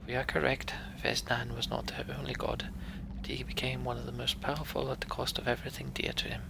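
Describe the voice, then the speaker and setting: A man voicing a calm, learned woman mender who speaks softly and measuredly. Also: medium pitch, fast, gravelly, background noise. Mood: musing.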